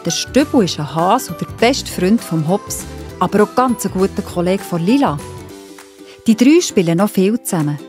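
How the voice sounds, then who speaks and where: A woman with a Swiss accent narrates a children's audio story at a medium pitch. Soft music sits behind her voice. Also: animated, moderate pace.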